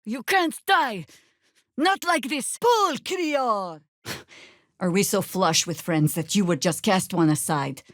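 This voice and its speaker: An actress voicing a stern, wry veteran druid woman in a fantasy video game, with a dry, sardonic, measured delivery. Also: medium pitch, no echo, lightly acted. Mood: urgent, shouting. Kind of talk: fantasy game character dialogue.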